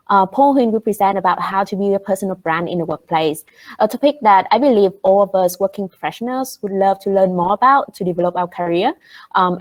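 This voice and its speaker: A woman moderating a webinar, introducing the speakers with scripted lines and frequent "um". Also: mid-range voice. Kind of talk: event hosting.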